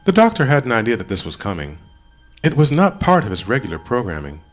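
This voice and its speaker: A man narrating an audiobook in a dramatic scripted style, expressive, with deliberate pauses. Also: medium pitch, faint music behind.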